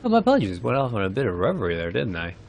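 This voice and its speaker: A man's voice: on the low side, huge pitch swings, rapid-fire, creaky, a little hiss or hum, exaggerated voice. A man voicing an absent-minded, rambling forest sage from a fantasy game, flustered and effusive.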